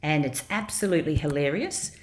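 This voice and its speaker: Lively woman hosting radio. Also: sits low, a little fast. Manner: chatty, giggling, bright questioning. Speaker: radio show host. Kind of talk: casual radio interview.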